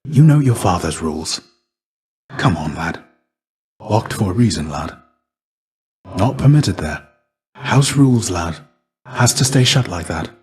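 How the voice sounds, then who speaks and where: A deep male voice for a video game character: a fallen Greek warrior, stoic and weary. He speaks low, in measured, formal phrases. There is a restrained, gentle gravity to him, like a fatherly mentor. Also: very animated. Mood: firm, gruff.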